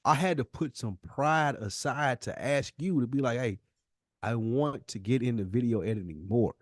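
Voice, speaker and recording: A man's voice: mid-range voice, moderate pace, dry recording, clean studio sound. An American man co-hosting a podcast, talking casually and candidly in an earnest, slangy way and repeating words for emphasis. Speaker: podcast co-host.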